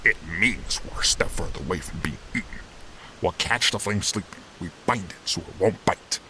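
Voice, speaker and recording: A man's voice: sits low, normal speed, bright, forceful tone, a little hiss or hum. A man voicing an older animal uncle, an earnest, hopeful and weathered mentor, in a dramatic fandub. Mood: earnest, resolute.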